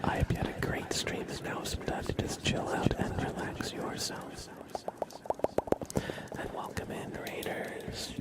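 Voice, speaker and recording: A man hosting an ASMR livestream in a soft, hushed whisper and low murmur, gently and unhurriedly talking to his viewers. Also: unhurried. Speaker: livestream host.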